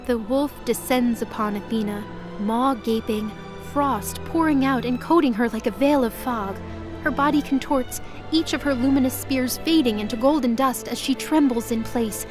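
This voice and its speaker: Female narrator: fairly high register, dramatic scripted storytelling, music underneath. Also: moderate pace.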